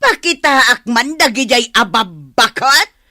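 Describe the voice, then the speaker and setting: A grudge-holding older village mother with an Ilocano accent. She is pushy and aggrieved, with a bright, swooping, highish voice in a close, dry radio-play style. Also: very animated, measured pace, no echo, exaggerated voice. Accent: Ilocano, northern Philippines. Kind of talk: radio comedy drama acting.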